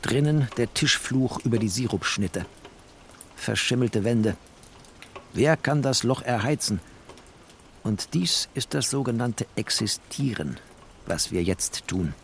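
A man reading literary prose as a narrator, with an expressive, sardonic and dramatic delivery. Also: medium pitch, slow pace, gravelly, long pauses, a little hiss or hum.

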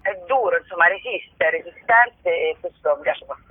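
A woman's voice: fairly low pitch, lively intonation, a little fast, dry recording. A woman with a Roman accent giving an informal interview as a guest, effusive and joking, rambling as she goes. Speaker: interview guest, comic actress and writer. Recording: tinny narrow-band phone line.

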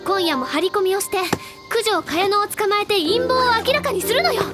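An actress playing a bookish teenage girl who is bossy and excitable, growing whiny when flustered. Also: very high-pitched, brisk. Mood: determined. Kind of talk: drama CD acting. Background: music.

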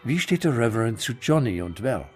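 An actor playing a gruff, sarcastic homicide sergeant who presses witnesses in a radio drama. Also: male voice, mid-range voice, sing-song, fairly quick, soft background music, lightly acted. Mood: questioning. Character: gruff middle-aged male homicide sergeant, impatient. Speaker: police detective sergeant. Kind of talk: German radio play acting.

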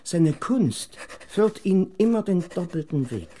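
An actor playing a solemn reciter of philosophical prose in a radio drama, measured and drawn-out. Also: male voice, high voice, sing-song, warm tone, a little hiss or hum.

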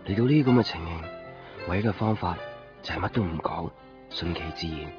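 Actor playing an earnest, insistent young man, deep voice. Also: brisk, close to an everyday voice. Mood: earnest, resigned. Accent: Hong Kong Cantonese. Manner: probing, earnest, pressing with questions. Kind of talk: 1990s Cantonese radio drama acting. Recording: dull lo-fi 1990s radio recording, music behind. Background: background music.

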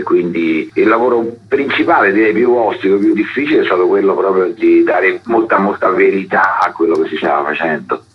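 A man speaking as a theatre director in a radio interview, explaining his work, with a husky voice and dull sound. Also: sits low.

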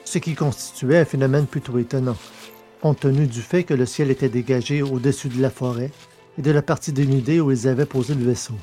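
Measured male audio drama narrator. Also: mid-range voice, faint music behind. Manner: steady, measured storytelling. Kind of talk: scripted science fiction narration.

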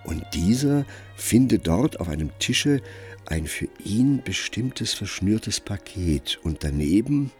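Deep-voiced male radio drama narrator.

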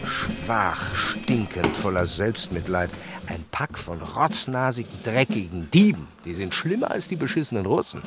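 A haughty English prisoner of war, speaking in a lowish voice with scornful self-assurance. Loud music runs underneath, as in a radio play. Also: male voice, very animated, a little slow. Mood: disgusted. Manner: disdainful, scornful. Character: strong, contemptuous English prisoner-of-war officer. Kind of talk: radio drama acting.

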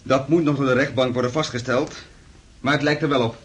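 Reserved, formal policeman actor, husky mid-pitched voice, calm factual delivery, radio play. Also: a little fast, close to an everyday voice. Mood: matter-of-fact. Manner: matter-of-fact, reserved. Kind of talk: radio drama acting.

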